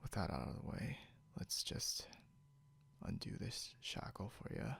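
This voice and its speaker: A man playing a possessive, snarky, non-human captor in an ASMR roleplay, speaking softly and deliberately, often close to a whisper, with smug condescension. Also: lowish voice, sing-song, heavily acted. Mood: teasing, menacing. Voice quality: soft, breathy, often near whisper. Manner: smug, teasing, slow and deliberate.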